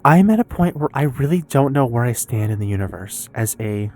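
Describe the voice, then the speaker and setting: A woman's voice: very deep voice, animated, darkish tone, faint music behind. Candid young American woman in a confessional vlog. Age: early 20s. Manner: candid, rambling, swearing, halting with long pauses. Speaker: vlogger and streamer. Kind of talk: confessional personal vlog, casual unscripted talk.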